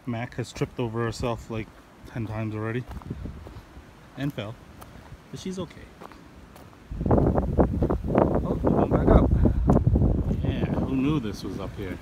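A man casually narrating a family hike on a mountain ridge trail, chatting like a relaxed tour guide. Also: medium pitch, takes it slowly, pauses often. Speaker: vlogger filming a family hike. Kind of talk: casual hiking vlog narration. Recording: loud outdoor wind and ambience.

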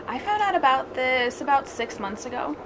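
A woman's voice: high-pitched, expressive, moderate pace, background noise. A woman in her thirties from New York with a light New York accent, a pageant guest speaking in a friendly, upbeat way. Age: 30s. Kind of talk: casual TV interview. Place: studio set with sofa and flowers.

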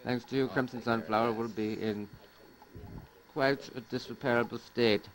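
A man's voice: mid-range voice, steady tone, normal speed, a crowd in the background, close to an everyday voice, poor audio. A man playing a bullying, self-important gang boss in an amateur sci-fi cartoon, with a menacing, pompous delivery. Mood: stern, accusing. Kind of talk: amateur animated sci-fi voice acting.

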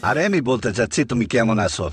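A man's voice: mid-range voice, fast, slightly rough, no echo, studio quality, faint music behind. A man playing a long-suffering village vendor in a cartoon, placating and exasperated. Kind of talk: comic cartoon acting in Bengali.

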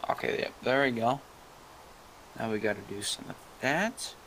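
A man's voice: mid-range voice, moderate pace, slight background noise, poor audio. A young man chatting casually while playing a game, swearing and laughing often. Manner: excitable, swears, yells and laughs. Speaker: gamer co-host. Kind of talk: casual gameplay chat.

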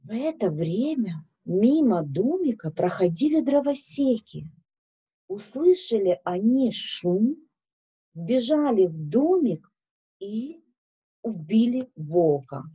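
Unhurried, expressive woman reading to children. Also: on the high side, slightly rough. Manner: deliberate, expressive, instructive. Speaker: children's story reader. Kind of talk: storytelling to children. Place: small indoor room with bookshelves.

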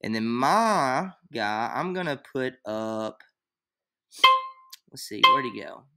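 A man's voice: mid-range voice, expressive, takes it slowly, very noisy. A young man explaining a draft on screen in a casual, rambling way, full of fillers, recorded at his computer in a small room. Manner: rambling, filler-heavy, thinks aloud. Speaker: fantasy league tutorial maker. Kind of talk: casual screen-share tutorial.

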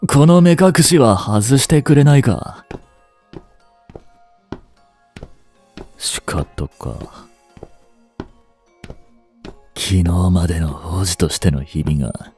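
A man in his twenties acting a proud, smooth-talking young prince exposed as a spy, with formal, pleading delivery in a dramatic voice drama. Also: deep voice, animated, slow pace, long pauses, darkish tone, soft background music. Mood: weary, defiant. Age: 20s. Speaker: amateur voice actor and voice drama creator.

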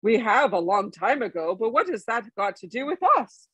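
A woman's voice: fairly high pitch, expressive, moderate pace, sharp tone, breathy edge, dry recording, full character voice. Woman reading a stately, courteous elven king. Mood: curt. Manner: stately, formal.

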